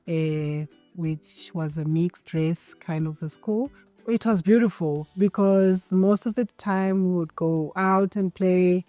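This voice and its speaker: A woman from Zimbabwe with a Zimbabwean accent, telling her story calmly in a documentary interview. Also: fairly low pitch, no echo.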